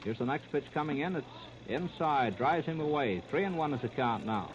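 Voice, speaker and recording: A man's voice: mid-range voice, expressive, normal speed. An American man calling baseball play-by-play in 1930s radio style, in clipped running description. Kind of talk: 1930s radio sports commentary. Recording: muffled, very noisy 1939 radio disc.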